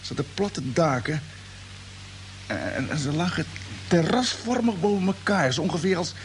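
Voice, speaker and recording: An actor playing a drowsy, bewildered space expedition commander in a radio play, speaking haltingly and repeating words. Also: male voice, on the high side, lively intonation, close to an everyday voice. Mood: dreamy recollection. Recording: noisy, humming old radio drama recording.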